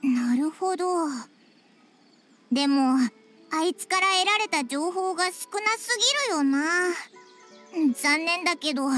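Squeaky little anime fairy, cheeky n boyish talk, super high, music behind. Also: female voice, a little slow, fairly bright tone. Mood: pensive. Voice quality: squeaky, childlike. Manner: brash, boyish rough speech. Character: small floating childlike fairy companion, cheeky and excitable. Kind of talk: anime game voice acting. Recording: background music under voice.

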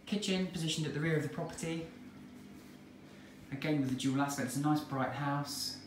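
A man with an English accent giving a relaxed, chatty walk-through of a house for sale, filmed on a handheld phone indoors and in the garden. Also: a little high, brisk, a little hiss or hum, poor audio. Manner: relaxed, chatty, plain sales patter. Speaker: estate agent giving a house tour. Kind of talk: walk-through property tour narration. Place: inside a detached house and its back garden. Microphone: handheld phone camera.

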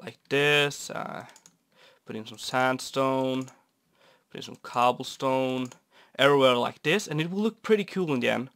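A young man from Norway, raspy and stuffy from a cold, rambling easily with many fillers while commenting on a building game. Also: mid-range voice, measured pace. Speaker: Minecraft builder. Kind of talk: casual gameplay commentary. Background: soft guitar music.

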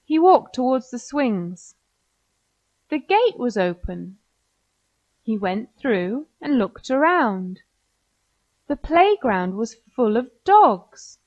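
A woman's voice: a little high, very animated, slow pace, long pauses, a little mellow, dry recording, dull audio. A woman with an American accent narrating a scripted children's story.

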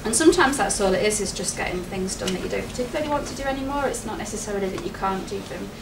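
A middle-aged woman talks a room through a presentation in a relaxed, chatty way, often breaking into laughter. She is picked up by a distant camera, so her voice sounds far off and roomy. A steady background noise sits under her voice. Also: mid-range voice, very fast, vocal fry. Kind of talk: public presentation. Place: wood-panelled meeting room with projector. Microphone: far camera, no mic.

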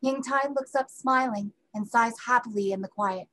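A woman's voice: a little high, moderate pace, a bit bright. A woman reading stage directions aloud as scripted narration at a play reading. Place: indoors at home on a video call.

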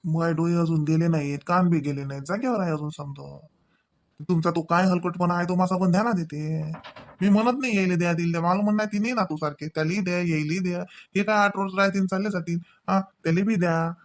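Cartoon village elder , played by a man: fair-minded father-in-law, fairly high voice, Varhadi Marathi accent, admonishing with questioning tags. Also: steady tone, fairly quick. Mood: chiding. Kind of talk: Marathi comedy cartoon dialogue.

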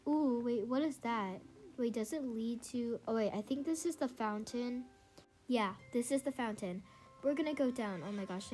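Congested young woman chatting over gameplay. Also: fairly high pitch. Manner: chatty, excitable, many ums. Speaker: gaming channel host. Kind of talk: casual gameplay commentary. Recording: faint music behind. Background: faint game music.

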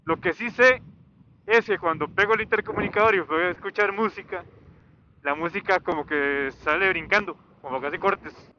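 A man with a fairly high-pitched voice talks off the cuff as he rides. He rambles and hesitates, circling back over his words. The helmet intercom mic sounds dull, with faint vehicle noise behind him. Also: moderate pace, sharp tone. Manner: rambling, hesitant, repeating himself. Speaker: motorcycle vlogger. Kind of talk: casual unscripted chat while riding. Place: outdoors, riding a motorcycle on a highway. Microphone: helmet intercom microphone. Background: faint motor and road noise.

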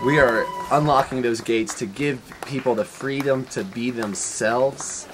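A man's voice: medium pitch, unhurried, noisy background. A man hosting a retreat, speaking earnestly and inspirationally to camera outdoors. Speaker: retreat host. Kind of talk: casual talk to camera.

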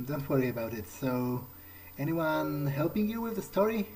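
A man's voice: a little high, sing-song, moderate pace, crowd noise, low-quality recording. A man thinking aloud in character as he plays a game, musing and hesitant as if talking to himself. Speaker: gamer playing in character. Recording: loud music behind the voice. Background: game music.

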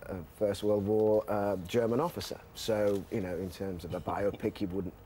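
A man in his forties with a Jamaican accent, an actor talking as a studio guest, thoughtful with pauses and fillers. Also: lowish voice, flat delivery, mellow, a little hiss or hum. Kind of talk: casual TV interview talk. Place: TV studio sofa.

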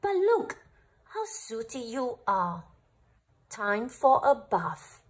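A woman voicing a gentle, loving mother dragon in a storybook, tender and coaxing. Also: fairly high pitch, very animated, slow, slightly rough, exaggerated voice. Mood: fond, coaxing.

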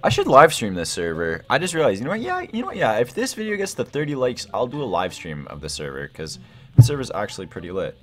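A young adult man gives casual gameplay commentary, excitable and slangy as he thinks aloud. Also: mid-range voice, quick pace, soft background music. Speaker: gaming video host.

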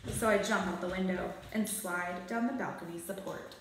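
A woman's voice: fairly low pitch, normal speed, heavy reverb, a little hiss or hum, poor audio. A young adult woman narrating a children's book aloud in a small bare room. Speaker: storybook reader.

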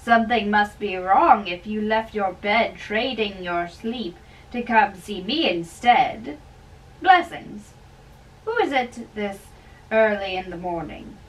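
A woman's voice: medium pitch, expressive, slow pace. A woman voicing a concerned older priest in a play, emphatic, probing and fatherly. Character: concerned, scolding older priest advising a young man. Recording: distant camera mic with faint room noise.

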